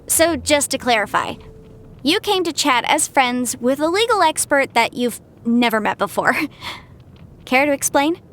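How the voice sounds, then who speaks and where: A woman's voice: high voice, a bit bright, exaggerated voice. A woman voicing a cheerful, confident young half-adeptus legal adviser in a video game, articulate and playful in her delivery. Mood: poised, friendly. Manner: articulate, self-assured, playful. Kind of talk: scripted video game character dialogue.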